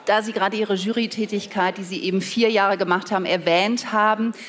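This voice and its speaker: A woman interviewing a writer, asking direct, measured questions. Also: mid-range voice, steady tone, fairly bright tone.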